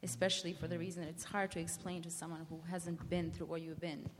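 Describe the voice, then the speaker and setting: A woman's voice: on the low side, level tone, quick pace, noisy background. A young woman from Bosnia with a Bosnian accent, speaking earnestly and reflectively as a panelist in a large hall, often searching for words. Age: young adult. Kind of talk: personal testimony on a panel. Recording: long hall reverb.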